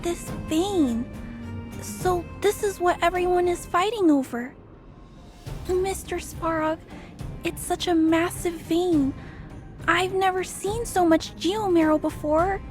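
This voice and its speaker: An actress playing a gentle, earnest little girl in a video game, polite and hesitant, worried for others. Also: very high voice, takes it slowly, faint music behind. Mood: awed. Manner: soft-hearted, polite, hesitant.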